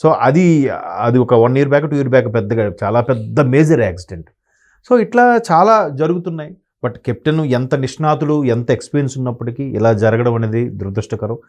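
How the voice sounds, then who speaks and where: A man's voice: mid-range voice, expressive, brisk, darkish tone. A man speaking as an analyst guest, talking in a rambling, sombre way with many restarts. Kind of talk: conversational commentary.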